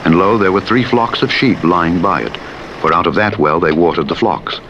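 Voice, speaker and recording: A man narrating the Bible in a solemn, measured and formal declamatory manner. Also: highs cut off. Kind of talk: solemn scripture reading. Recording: played in a game over rumbling truck engine noise. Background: vehicle rumble from a truck simulator game.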